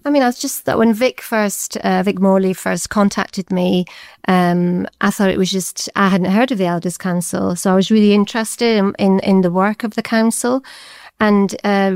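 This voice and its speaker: A woman's voice: mid-range voice, a little mellow, clear, resonant tone, no room sound, studio quality. A Scottish woman in her forties, a deputy head teacher, answering interview questions in a chatty way with many fillers. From Scotland.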